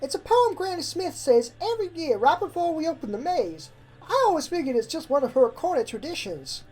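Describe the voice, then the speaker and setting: A woman's voice: sits high, very animated, fairly quick, darkish tone, pitched above the performer's own voice. A woman voicing a folksy, stubborn and uneasy young pony filly in a story reading. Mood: explaining. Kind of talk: character voice in fan fiction reading.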